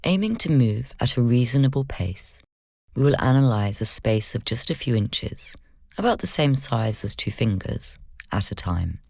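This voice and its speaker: Soft, dry-sounding meditation guide. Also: mid-range voice, lively intonation, moderate pace, vocal fry, no room sound. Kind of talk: guided meditation, slow instructional narration.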